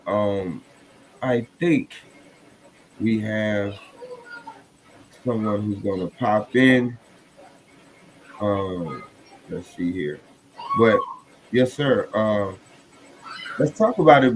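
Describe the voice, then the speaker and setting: A man with a fairly low voice hosts a podcast over some background noise. He speaks courteously and reverently, feeling his way through phrases in a halting, conversational manner. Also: poor audio. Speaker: podcast host. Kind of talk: conversational podcast introduction and discussion.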